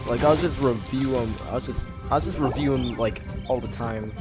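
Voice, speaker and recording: A man's voice: medium pitch, normal speed. A man giving casual, rambling reaction commentary as he streams a game, often repeating himself. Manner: repetitive, rambling. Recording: loud game music behind stream voice.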